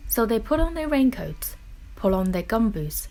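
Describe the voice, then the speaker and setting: A woman reading a children's picture book aloud in expressive, deliberate narration with dramatic phrasing. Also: on the high side. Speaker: children's story reader.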